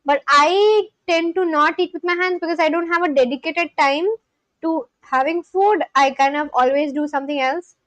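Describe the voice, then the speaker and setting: A woman's voice: high voice, normal speed, fairly bright tone, no echo, poor audio. A woman from India, chatting casually on a live stream at home and answering her chat aloud. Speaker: language-learning livestreamer. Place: at home at a laptop.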